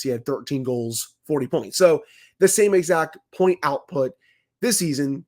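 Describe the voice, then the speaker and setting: A man co-hosting a hockey podcast while fighting a cold, a bit raspy, giving opinionated takes full of stats and careful hedges. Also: medium pitch, a little mellow. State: has a cold, slightly raspy. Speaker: podcast co-host, hockey analyst. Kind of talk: casual sports podcast talk.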